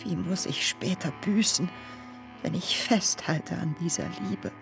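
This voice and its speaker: Creaky-voiced, anguished pious woman. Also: fairly low pitch, unhurried, music in the background. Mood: penitent, anguished. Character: pious, anguished married woman in forbidden love. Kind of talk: radio play acting.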